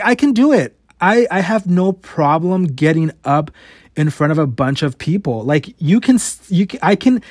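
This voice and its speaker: A man's voice: a little high, darkish tone, no room sound, clean studio sound. A man in his late twenties talking casually on a podcast, stuttering often, restarting words and swearing. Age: 20s. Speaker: podcast host. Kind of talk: casual confessional monologue.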